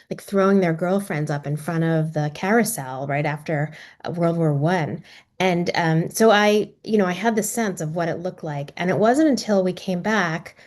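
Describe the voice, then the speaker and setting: Reflective woman with halting delivery. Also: on the low side, a little mellow. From Maryland, US. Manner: reflective, halting, many ums and restarts. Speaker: documentary filmmaker. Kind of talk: casual talk about her film.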